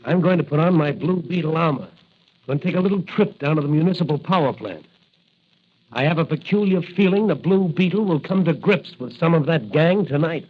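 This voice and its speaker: A resolute young crime fighter from a 1940s radio adventure, played by a man. His voice is husky and slightly high, and he speaks with grave, deliberate conviction. The recording is muffled like an old broadcast. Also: expressive. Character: young rookie cop turned masked crime fighter, earnest and resolute.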